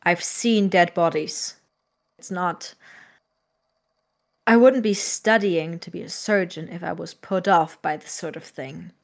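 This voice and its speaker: A man's voice: high-pitched, fairly even intonation, measured pace, gravelly. An exasperated young male medical student in an audio drama. He is anxious and cuttingly sarcastic, a reluctant accomplice who speaks in a natural, everyday voice. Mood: uneasy, factual. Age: young adult.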